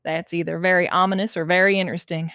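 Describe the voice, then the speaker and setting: A woman's voice: fairly low pitch, little pitch movement, fast talker, a bit bright, no echo, clean studio sound. A woman playing a tough, blunt female Texas Ranger in a sci-fi audio drama, direct and questioning with a wry edge. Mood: intent, wry.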